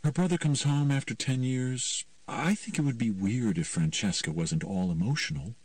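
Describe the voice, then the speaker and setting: A man voicing a blunt, animated teenage girl in an audiobook, with casual, emphatic phrasing. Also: mid-range voice, moderate pace, no echo, recorded from a distance, voice pushed up, close to an everyday voice. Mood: emphatic, explaining. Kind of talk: audiobook character voice.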